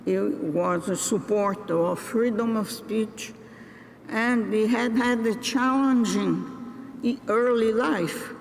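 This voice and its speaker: An older woman from Brazil giving a graduation address, speaking in a deliberate, halting way with pauses and restarts. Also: on the high side, vocal fry. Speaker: commencement speaker. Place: large ceremony venue. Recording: reverberant hall with faint noise.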